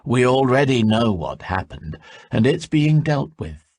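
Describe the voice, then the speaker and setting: A man voicing a smooth, menacing young male envoy who speaks low, level and slow. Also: very animated, a little mellow, dry recording, studio quality, full character voice. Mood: silkily menacing.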